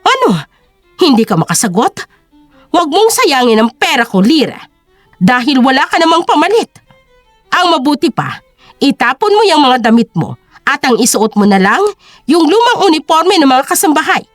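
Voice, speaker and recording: Casting: haughty older rich mother-in-law played by a woman, audio drama villain, sneering, commanding, very lively intonation. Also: sits high, normal speed, no room sound, soft background music. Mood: contemptuous, commanding. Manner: scornful, belittling, commanding.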